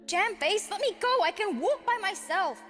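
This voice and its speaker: High-strung young male cartoon gremlin played by a woman, exclaiming in protest, pitched well up, music underneath. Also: lively intonation, normal speed, fairly bright tone, long reverb tail. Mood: indignant. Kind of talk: acted character dialogue in fanfic reading.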